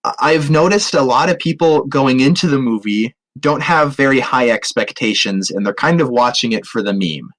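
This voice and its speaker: A man with a mid-pitched voice talks as a podcast co-host in a casual review chat. He is somewhat hesitant and often repeats phrases as he works toward his point. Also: expressive, moderate pace, few pauses, fairly bright tone, poor audio.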